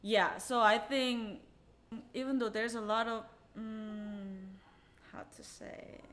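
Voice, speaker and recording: A woman's voice: on the high side, steady tone, slow, a bit bright. A woman from South Korea, a native Korean speaker with a Korean accent, chatting casually as a podcast co-host. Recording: faint background noise.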